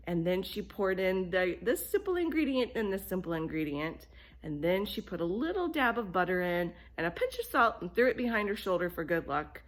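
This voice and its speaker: Low-pitched woman telling a bedtime story. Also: rough recording. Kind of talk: expressive children's storytelling.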